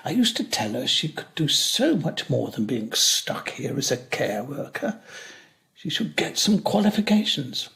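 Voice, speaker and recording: An older man playing a lonely, wry retired teacher near eighty in a care home, in a reflective, measured dramatic monologue. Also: mid-range voice, big pitch swings, creaky, fairly bright tone, full character voice. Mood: wistful, wry.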